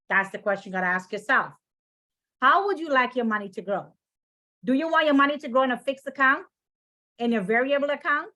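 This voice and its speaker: Emphatic middle-aged Guyanese woman, higher-pitched. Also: fairly bright tone. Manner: emphatic, repeats key phrases. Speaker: financial presenter. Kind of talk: informal sales presentation to an audience.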